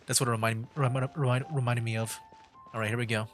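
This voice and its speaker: A man giving casual livestream commentary on a game in a halting, musing way. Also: mid-range voice. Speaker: streamer playing a game.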